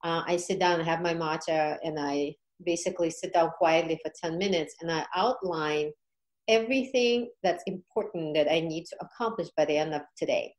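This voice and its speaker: A woman's voice: low voice, normal speed. A woman guest on a podcast, telling her experience in a casual, informal way with frequent check-in questions. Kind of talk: casual conversational storytelling.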